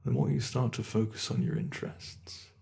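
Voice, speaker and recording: A man voicing a gravelly ancient bed monster. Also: medium pitch, steady tone, darkish tone. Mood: brooding. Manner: slow, brooding, intimate monologue to the listener. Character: ancient immortal male monster under the bed, weary and menacing. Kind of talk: ASMR horror roleplay.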